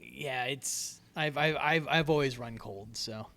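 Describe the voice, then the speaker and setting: A man co-hosting a podcast in casual chat, soft-spoken by nature, who stammers and repeats his words often. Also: medium pitch, a little slow. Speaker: podcast co-host.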